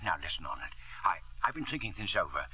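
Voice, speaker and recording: An actor playing a nervous, indignant business partner in 1950s radio drama, husky, blustering and stammering with agitation. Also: male voice, low-pitched, very animated, fast, bright, forceful tone, exaggerated voice. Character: nervous, indignant small-time business partner, easily alarmed.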